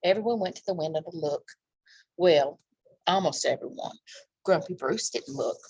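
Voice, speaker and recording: Husky-voiced woman reading to children. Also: fairly low pitch, expressive, normal speed, darkish tone, low-quality recording. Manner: simple asides pointing out the pictures, with exclamations. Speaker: children's library storytime reader. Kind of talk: reading a picture book aloud to young children.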